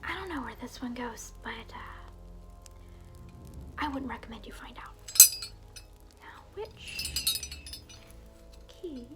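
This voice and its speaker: A woman's voice: fairly high pitch, expressive, slow, pauses often. A woman whispering as a mocking evil keeper of hell. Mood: whispered taunting. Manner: soft whispering, teasing and menacing. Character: evil alter-ego keeper of hell's keys, mocking. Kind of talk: ASMR roleplay. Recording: music playing under the voice.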